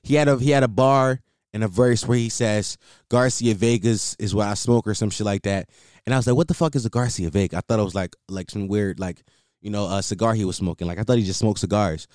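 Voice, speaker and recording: A young man in a casual monologue, rambling with many fillers and casual swearing, his voice on the higher side for him. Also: a little mellow, no echo, clean studio sound. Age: young adult. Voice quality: higher-toned voice.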